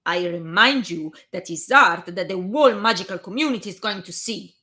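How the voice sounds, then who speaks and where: A woman's voice: mid-range voice, expressive, normal speed, sharp tone. An Italian woman voicing an irritated young wizard.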